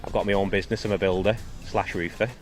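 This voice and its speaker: A man's voice: low-pitched, steady tone, quick pace, faint music behind. A man giving plain, matter-of-fact interview answers. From St Helens, England. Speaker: competitor interviewee.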